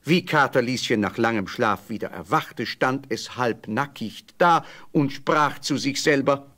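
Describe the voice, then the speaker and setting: A man's voice: medium pitch, a little slow, fairly bright tone. A man narrating a scripted fairy tale as the storyteller of an audio play.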